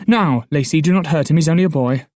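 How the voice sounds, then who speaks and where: A man voicing an excitable, headstrong elderly noblewoman in an audiobook, talking in a rapid, indignant rush. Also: medium pitch, husky, dry recording, studio quality, lightly acted. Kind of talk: audiobook character voice.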